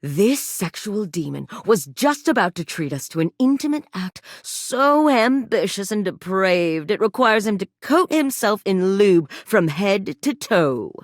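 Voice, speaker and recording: Saucy shameless flirt, slow delivery, lively swooping pitch, playful, suggestive, video game dialogue. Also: female voice, no echo, studio quality. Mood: gleeful, teasing. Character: saucy, teasing, shameless adult woman game character.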